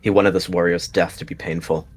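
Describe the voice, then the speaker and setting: Deep, husky male story narrator. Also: little pitch movement, a little fast, soft background music. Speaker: roleplayer narrating a character. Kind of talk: dramatic third-person story narration.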